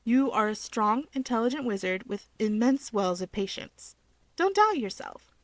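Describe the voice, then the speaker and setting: A woman voicing a perky young female wizard in a story reading, playful, quick-witted and encouraging. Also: on the high side, big pitch swings, no room sound. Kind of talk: fan fiction character reading.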